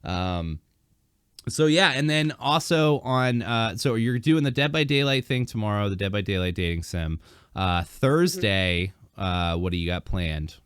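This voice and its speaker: Deep-voiced man hosting a podcast. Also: expressive, unhurried, fairly bright tone, clean tone, no echo, clean studio sound. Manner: rambling, many fillers and restarts. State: dry throat, slightly hoarse. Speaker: podcast host. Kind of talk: casual podcast chat.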